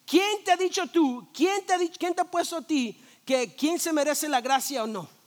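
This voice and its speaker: A man, a pastor, preaching a sermon in a lively, conversational way, full of rhetorical questions, jokes and quick restarts. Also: very high voice. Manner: frequent restarts and repeated words.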